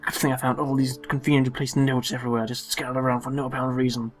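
A man's voice: medium pitch, flat delivery, fast talker, faint music behind. Sarcastic man doing game commentary. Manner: sarcastic, irreverent. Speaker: let's play host. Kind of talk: joking video game commentary.

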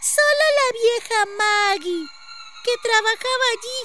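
A woman's voice: extremely high pitch, animated, a little slow, heavy background noise, voice pushed up. A woman voicing a frightened little village girl in a storybook, urgent and exclaiming.

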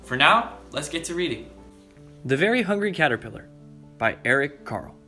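A young man hosting a children's story time, reading aloud in a deliberate manner and speaking directly to young listeners. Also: fairly high pitch, sing-song, sharp tone. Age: young adult. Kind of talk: read-aloud storytelling for preschoolers. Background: background music.

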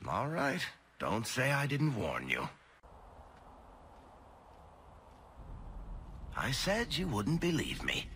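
An actor playing a roguish, evasive dwarf storyteller in a video game, with a sardonic, theatrical and teasing manner. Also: male voice, medium pitch, huge pitch swings, measured pace, sharp tone, slight background noise, exaggerated voice. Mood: sly, evasive. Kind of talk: dramatic video game dialogue.

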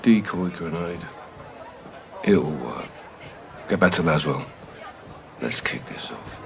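An actor playing a seasoned middle-aged British special forces captain, terse and commanding, in a military game. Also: male voice, extremely low pitch, lively intonation, slow, creaky, crowd noise, background noise, heavily acted. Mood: steady, commanding.

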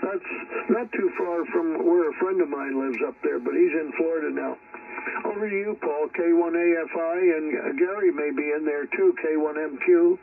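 A man's voice: on the high side, moderate pace, vocal fry, recorded from a distance. A man rambling casually as an amateur radio operator, heard over shortwave radio. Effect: shortwave radio transmission. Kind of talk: casual ham radio chat. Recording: narrowband, very noisy shortwave radio reception.

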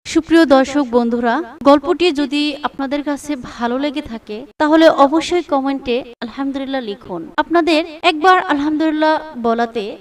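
High-pitched woman narrating a story. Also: normal speed. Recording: slightly distant microphone.

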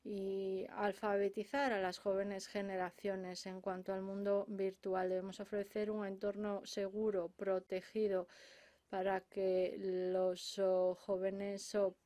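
A woman working as a simultaneous interpreter at a conference, speaking into a booth headset mic. She talks slowly and carefully, pausing on filler sounds and restarting phrases as she keeps up with the speakers. Her pitch sits in an ordinary middle range. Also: completely flat delivery, mellow. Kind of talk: simultaneous interpretation of panel speeches.

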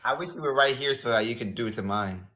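A hoarse nineteen-year-old man from the Bronx, chatting and teasing on a phone livestream. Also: medium pitch, quick pace, bright, forceful tone, reverberant, a little hiss or hum. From the Bronx, New York. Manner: slangy, laughing, teasing. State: hoarse, losing his voice. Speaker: livestream guest. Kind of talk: casual livestream banter. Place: indoor room. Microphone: phone at arm's length.

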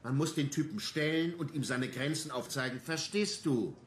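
An actor playing a gruff, combative, embittered police detective in a radio crime drama, talking bluntly and coarsely. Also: male voice, a little high, normal speed, natural voice. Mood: combative, defiant. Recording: roomy sound with faint noise.

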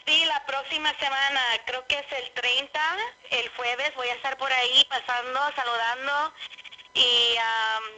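A woman's voice: sits high, steady tone, unhurried, pushed and bright, low-quality recording. A woman from Ecuador who grew up in New York, a phone-in guest speaking hesitantly and searching for words over a telephone. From born in Latacunga, Ecuador, raised in Brooklyn, New York.

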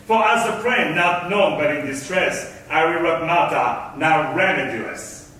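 A man acting a scheming, self-assured merchant on stage, declaiming Elizabethan drama with deliberate grandeur. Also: on the high side, bright, forceful tone, recorded from a distance. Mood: bargaining, sly. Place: concert hall stage, far camera. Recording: echoey hall from afar, faint noise.

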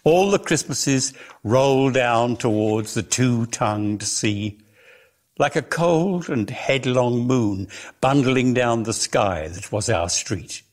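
Guy doing dramatic story reading, slow n lingering, lots of pitch swoops, husky. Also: lively intonation. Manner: measured, theatrical, lingering on words. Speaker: reader.

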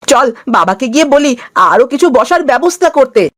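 A woman's voice: sits high, big pitch swings, moderate pace, a bit bright, full character voice. A woman voicing an eager, helpful young village boy in a cartoon, polite and earnest. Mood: busy, welcoming.

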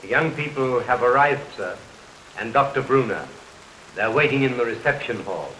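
A man plays a loyal, disapproving butler in early talkie style. He is formal, dry and measured, with a middling pitch. Light hiss and stormy rain lie behind the voice. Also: expressive, fairly bright tone, natural voice, poor audio. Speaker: film actor. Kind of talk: early 1930s film acting. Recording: hissy 1932 film soundtrack, stormy rain behind.

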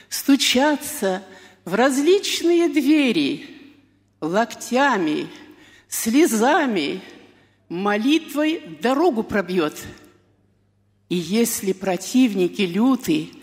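A woman recites poetry solemnly and very expressively, taking her time over each phrase. Her voice is fairly high and a little husky. It rings with long reverb, as in a large hall. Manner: deliberate, expressive, with drawn-out words and pauses.

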